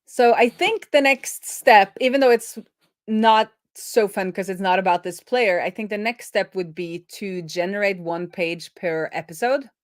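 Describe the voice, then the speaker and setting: A woman's voice: medium pitch, unhurried, dry recording, clean studio sound. A woman explaining programming informally as a host, thinking aloud as she goes. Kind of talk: informal live coding explainer.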